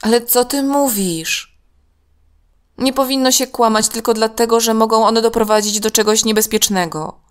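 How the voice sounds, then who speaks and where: A woman's voice: a little high, steady tone, moderate pace, smooth, voice pushed up, natural voice. A woman voicing a principled, reproachful young girl in a children's story, firm and earnest.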